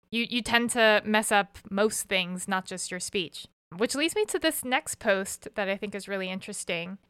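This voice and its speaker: A woman with a bright, clear and smooth voice hosts a relaxed podcast chat. She asks curious follow-up questions and muses aloud, using small fillers. The recording is dry and close, with no room sound. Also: mid-range voice, dry recording. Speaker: podcast host reading listener posts.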